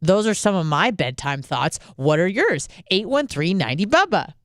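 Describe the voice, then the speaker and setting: A woman's voice: fairly low pitch, very animated, normal speed, no echo, studio quality. A woman hosting a call-in radio show, talking casually with lots of fillers and swearing. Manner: rambling, profane, frequent fillers.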